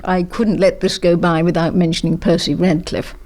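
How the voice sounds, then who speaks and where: A woman's voice: lowish voice, flat delivery, moderate pace, darkish tone. An older woman, a former politician, reminiscing in an interview in an effusive, emphatic, well-spoken way.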